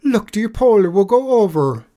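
A man doing a sly high-voiced leprechaun. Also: normal speed, a little mellow, close to an everyday voice. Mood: alarmed. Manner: high wheedling Irish brogue. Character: sly little male leprechaun cobbler, tricky.

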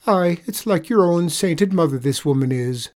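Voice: medium pitch, expressive, moderate pace, darkish tone, pitched above the performer's own voice, natural voice. A performer voicing a wily little Irish leprechaun man in a storybook tale, coaxing with a mournful lilt. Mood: sly, sorrowful. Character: wily little leprechaun man spinning pitiful sob stories.

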